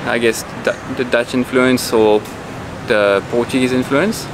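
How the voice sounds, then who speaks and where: A man's voice: medium pitch, steady tone, unhurried, background noise. A man chatting to the camera as a travel vlogger, enthusiastic and repeating words for emphasis. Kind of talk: casual travel vlog talk. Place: outdoors and in a cafe. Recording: loud music bed over the voice. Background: loud background music.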